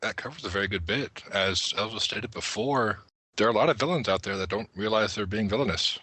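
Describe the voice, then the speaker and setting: A man with a very deep, rough-edged voice discusses things casually as a panelist. He explains and argues with small asides, in a forceful, cutting tone. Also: brisk, dry recording. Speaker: podcast panelist.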